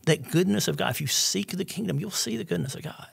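A man's voice: high-pitched, quick pace, vocal fry, husky. An older man, a church member and data consultant who is the guest, talking enthusiastically in a rambling way with frequent "right?" tags. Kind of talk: casual podcast conversation. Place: small studio room with curtain backdrop. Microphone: desk mic on a boom arm.

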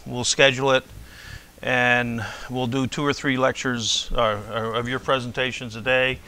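Older male lecturer, casual and digressive, bright forward tone, lapel mic in a big classroom. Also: mid-range voice, little pitch movement, unhurried, a little hiss or hum, rough recording. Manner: conversational, rambling, checks in with "okay?". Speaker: university professor. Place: large lecture hall with blackboards.

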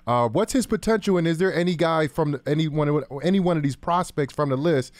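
A man talking football casually and a little haltingly as co-host, close on a studio microphone. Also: on the high side, dry recording. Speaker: sports show co-host, former player. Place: small podcast studio. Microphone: boom-arm studio microphone, close.

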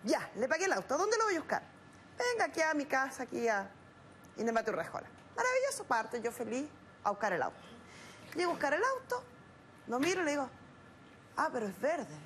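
TV judge, Chilean woman, high voice, stern and scolding. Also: wildly swooping pitch, a little fast, pauses often, a bit bright, slight background noise. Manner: blunt, scolding, rhetorical questions. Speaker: TV arbitration show judge. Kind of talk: stern courtroom lecturing. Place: TV studio set.

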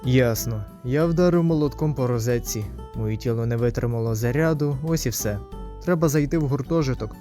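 A man's voice: mid-range voice, brisk, warm tone, soft background music, lightly acted. A man voicing a wry, irritable student who is a reluctant comic hero, with a dry, grumbling manner. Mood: wry, evasive. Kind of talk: comic dub acting.

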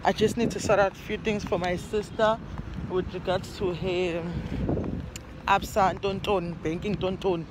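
A woman's voice: lowish voice, flat delivery, normal speed, background noise. A young South African woman chatting casually to her phone camera outdoors, rambling and correcting herself as she talks. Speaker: vlogger. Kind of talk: casual vlog chat to camera, mixing English and Zulu. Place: outdoors in a parking lot. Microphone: phone camera at arm's length.

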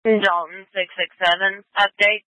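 A woman working as a police dispatcher, reading back coded messages over the radio in a flat, clipped way. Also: medium pitch, unhurried, no room sound, rough recording. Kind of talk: police dispatch read-backs. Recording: dry police scanner radio audio.

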